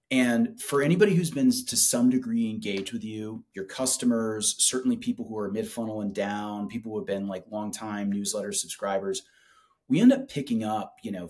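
A man in his forties making a casual, enthusiastic product pitch in conversation, speaking colloquially on a video call. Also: a little mellow. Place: small office with whiteboard, indoors.

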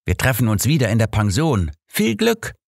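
A man's voice: mid-range voice, huge pitch swings, normal speed, creaky, dry recording, studio quality, voice pushed up, heavily acted. A man reading an audiobook, voicing a shrewd, assured old master detective who speaks formally and analytically. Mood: brisk. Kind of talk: audiobook character dialogue.

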